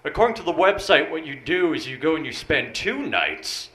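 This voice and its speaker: A man in his thirties with a deep voice, telling dry, understated stand-up one-liners on a bar stage. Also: very animated, moderate pace, pushed and bright. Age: 30s. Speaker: stand-up comic. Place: small bar comedy stage. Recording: roomy bar with crowd noise. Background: audience laughter.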